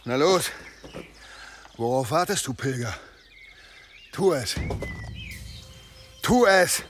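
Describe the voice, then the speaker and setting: An actor playing a weary, gruff former berserker warrior in a German audio drama, terse and grimly resigned. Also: male voice, mid-range voice, lively intonation, slow pace, over music. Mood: blunt challenge.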